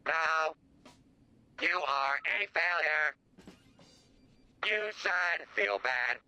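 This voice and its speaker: A man's voice: fairly high pitch, expressive, drawn-out words, bright, forceful tone, heavily acted. A man voicing a cruel, contemptuous robot drum teacher in a video game, harsh and withering. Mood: scornful. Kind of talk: video game character dialogue.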